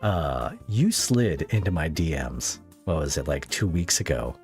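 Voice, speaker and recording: Male podcast host, very low register, loose conversational delivery with repeated words, faint guitar bed. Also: big pitch swings. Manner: rambling, halting, repeats words while thinking. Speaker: podcast host interviewing a guest. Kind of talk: casual podcast chat.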